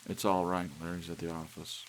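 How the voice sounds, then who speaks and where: A man voicing a coquettish, excitable married woman in an audiobook, gushing and pleading. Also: sits low, brisk, voice pushed up. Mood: coy, teasing. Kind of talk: audiobook character voice. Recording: steady rain hiss behind.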